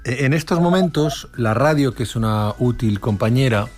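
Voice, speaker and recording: A man's voice: mid-range voice, animated, moderate pace, a little mellow. A man hosting live radio, bantering casually with a blunt, teasing, mock-solemn manner. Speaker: radio host. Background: music.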